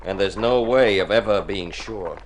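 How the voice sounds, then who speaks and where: A man's voice: fairly low pitch, normal speed, close to an everyday voice. A man playing an uneasy young Texan cowboy in a radio drama, plain-spoken and hesitant. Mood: rueful, hesitant. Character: uneasy young Texan cowpuncher posing as heir. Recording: noisy old radio drama recording.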